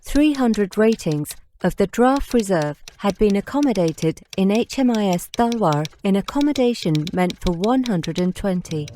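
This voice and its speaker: A woman reading extracts from an official report in a formal, crisp manner. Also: mid-range voice, animated, normal speed, hardly any pauses, dark tone, slight background noise.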